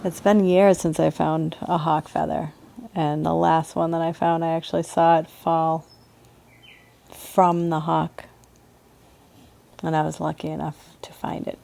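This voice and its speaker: A woman guides a meditation in a very dark, relaxed voice. Her delivery is very slow and nearly flat in melody, with gentle pauses between short phrases. She sounds like a soothing yoga teacher. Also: deep voice, gravelly, a little hiss or hum.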